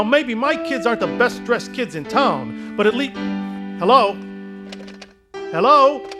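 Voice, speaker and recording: A frazzled, stammering father. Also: extremely high pitch. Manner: agitated, stammering. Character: desperate, frazzled family man near breaking. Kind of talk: radio play acting.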